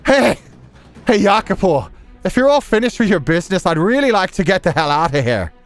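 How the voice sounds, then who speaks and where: A man performs a cocky rebel fighter, brash and informal. He calls out loudly at a raised pitch, over faint music. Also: big pitch swings, fairly quick. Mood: brash urgency.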